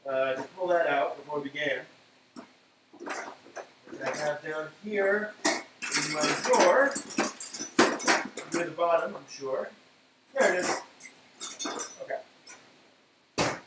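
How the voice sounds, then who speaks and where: A man hosting a casual, unscripted cooking live stream, thinking aloud in a hesitant way and trailing off as he works. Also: a little high. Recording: roomy echo, background noise.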